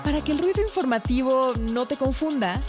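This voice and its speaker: A woman's voice: sits high, lively intonation, a little fast, over loud music. A woman voicing a polished station promo. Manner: polished announcer delivery.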